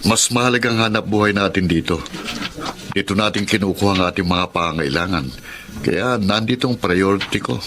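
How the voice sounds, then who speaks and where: An actor playing a resentful, stubborn grown son who sells at market, dismissive, in a radio drama. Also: male voice, deep voice, a little fast, noisy background, natural voice. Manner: grumbling, dismissive. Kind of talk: Tagalog radio drama acting.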